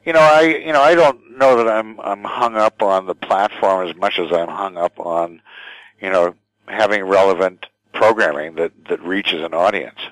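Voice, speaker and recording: An older man answering interview questions thoughtfully and haltingly, often repeating words as he goes. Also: deep voice, fairly bright tone, no room sound, low-quality recording. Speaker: radio station general manager, interview guest.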